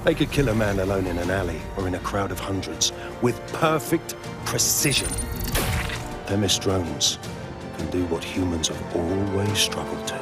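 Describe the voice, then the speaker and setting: Brief: ruthless tech security chief, controlled and chilling. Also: male voice, low-pitched, moderate pace, over loud music. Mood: confident. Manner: calm, controlled, menacing. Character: cold ruthless male tech security boss.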